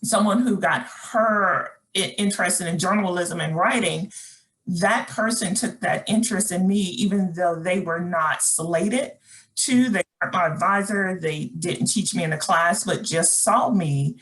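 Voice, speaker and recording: A woman's voice: lowish voice, unhurried, a bit bright, rough recording. A middle-aged woman presenting a webinar workshop, talking conversationally with fillers and restarts while reading chat comments aloud.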